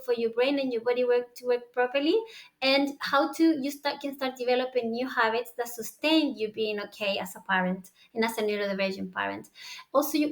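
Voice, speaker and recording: A woman from Chile with a Chilean Spanish accent, presenting a course introduction in halting non-native English. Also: high voice.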